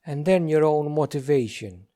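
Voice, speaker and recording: Mellow-voiced man guiding a meditation. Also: fairly high pitch. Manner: gentle, unhurried instruction with many pauses. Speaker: meditation teacher. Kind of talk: guided meditation.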